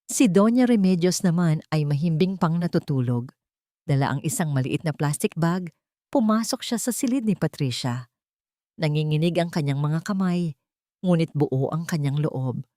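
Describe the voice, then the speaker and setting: A woman reads a dramatic romance story as its narrator. Her voice sits a little low and rises and falls with a lot of movement. The recording is dry and free of room sound. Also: lively intonation, normal speed, warm tone, dry recording.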